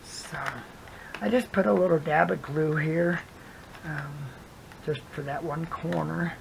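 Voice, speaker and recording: Gravelly, hoarse adult rambling softly. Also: mid-range voice, steady tone, a little slow, a little hiss or hum, poor audio. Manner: rambling, soft-spoken, drifts into whispers. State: hoarse, voice cutting in and out. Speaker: craft vlogger. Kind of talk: casual chat while crafting.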